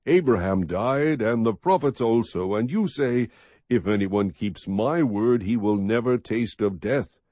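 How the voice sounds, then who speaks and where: A man narrating scripture as an audiobook, reading in a measured, expressive, husky voice. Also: on the low side, dry recording, muffled recording.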